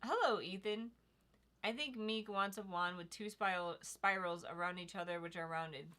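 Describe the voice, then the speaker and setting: A woman's voice: fairly low pitch, normal speed, pushed and bright. A young woman co-hosting a casual livestream, chatting easily and reading viewer comments aloud. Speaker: livestream co-host reading chat.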